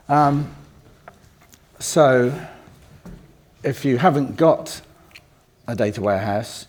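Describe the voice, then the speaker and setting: An older man with a croaky voice from a cold, giving a rambling conference talk full of ums and restarts. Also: medium pitch, darkish tone. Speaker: conference speaker. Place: conference room.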